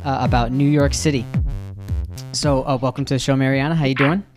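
A male podcast host in a loose, casual interview. He talks at an ordinary pitch for a man and rambles, stopping and restarting his questions as he goes. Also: fairly even intonation, brisk, faint music behind.

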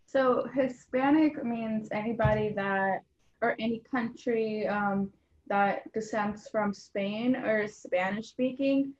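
A woman's voice: a little high, slow. Young woman guest, earnest, lots of ums, dull lo-fi recording. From Mexican household in Atlanta, Georgia. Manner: earnest, frequent ums and you knows. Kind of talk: casual interview answers.

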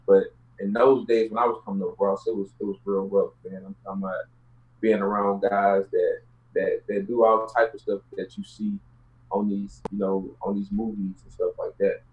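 A man with a fairly deep voice and a Houston Southern accent speaks as an interview guest. He tells personal stories in casual, streetwise language. The audio is a little muffled and lo-fi. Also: level tone, moderate pace. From Houston, Texas, United States. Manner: colloquial, streetwise slang.

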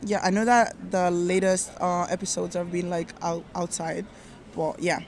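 A woman's voice: fairly low pitch, fairly even intonation, moderate pace, a little mellow. Hesitant young woman podcast host chatting casually outdoors. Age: 20s. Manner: hesitant, lots of fillers and restarts. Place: outdoors in a park.

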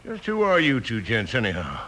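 A man playing a surly, scheming rancher villain with a gruff American drawl in an old-time radio western. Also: mid-range voice, huge pitch swings, fairly quick, pushed and bright. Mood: suspicious. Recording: noisy old radio recording.

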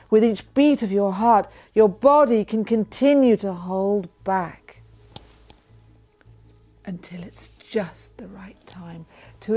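Slow, breathy woman reading a guided meditation. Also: medium pitch. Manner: breathy, often dropping into a whisper. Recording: voice over soft background music. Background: music.

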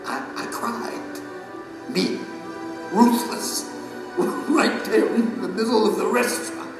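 An actor playing an animated, once-scornful wicked man turned repentant in an audio drama, speaking with emphatic theatrical delivery. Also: high-pitched, takes it slowly. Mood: moved, confessional. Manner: emphatic, theatrical storytelling with chuckles. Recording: very echoey large hall, music behind.

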